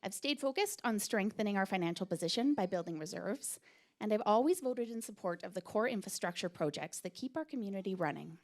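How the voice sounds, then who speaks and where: A woman's voice: mid-range voice, animated, brisk. Woman reading a heartfelt campaign script. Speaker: incumbent council candidate. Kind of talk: reading a prepared campaign speech. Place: community recreation center hall.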